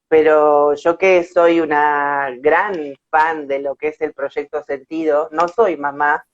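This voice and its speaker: Slow-paced woman, dull lo-fi sound. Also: deep voice, steady tone. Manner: effusive, repeats words for emphasis. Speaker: host of an online interview show. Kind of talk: warm personal introduction and interview chat.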